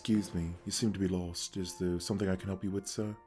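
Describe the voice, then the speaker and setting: Brief: low, courteous castle servant, put on by a man, soft horn music faint behind. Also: little pitch movement, fast, lightly acted. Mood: polite. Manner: courteous, helpful. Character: polite male palace servant. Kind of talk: ASMR roleplay.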